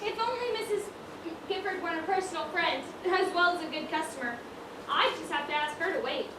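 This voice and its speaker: Girl acting a very high-voiced fussy widow shopkeeper. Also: a little fast. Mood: busy, fretful. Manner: prim, exclaiming, old-fashioned turns of phrase. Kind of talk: amateur stage acting, one-act school play. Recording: roomy stage, distant mic, clear noise.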